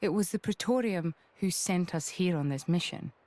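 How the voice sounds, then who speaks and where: Woman as a low-pitched, composed noblewoman officer. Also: expressive, a little mellow, dry recording, close to an everyday voice. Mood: pensive. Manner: measured, formal.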